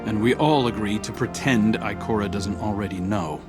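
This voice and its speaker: An actor playing a wry, weary human commander in a video game, talking in a dry, low-key, plain-spoken way. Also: male voice, moderate pace, close to an everyday voice. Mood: wary, measured. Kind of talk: video game cutscene acting. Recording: loud music underneath.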